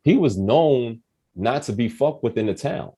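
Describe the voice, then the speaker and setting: A man in his forties hosting a casual quiz and chat, telling stories in an animated, slangy way with some profanity. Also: medium pitch, unhurried, husky. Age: 40s. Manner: animated, profane, acts out quoted voices. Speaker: quiz host on a talk show.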